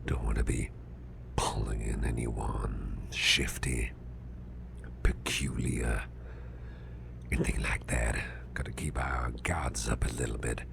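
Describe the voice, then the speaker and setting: An extremely low, crackling growl of a big businessman boss acted by a man in an ASMR roleplay. He murmurs in a breathy half-whisper, slowly and haltingly, gentle but fretful. There is clear noise behind the voice. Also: fairly even intonation, heavily acted. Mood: musing, wary. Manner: halting, murmured, soothing, with repeated phrases.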